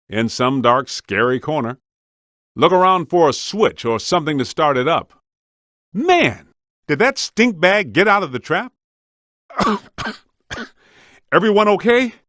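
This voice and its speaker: An actor playing a streetwise, wisecracking, grumbling ghost hunter in a scripted video game. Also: male voice, medium pitch, a bit bright, no room sound, studio quality. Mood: alarmed. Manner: wry, streetwise, complaining.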